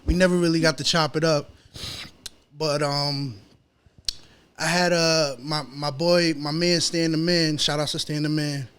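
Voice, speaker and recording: A man with a New York accent chatting casually as an interview guest, slangy and pausing as he goes. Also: on the high side, fairly even intonation, a bit bright, clear, resonant tone. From New York City, United States.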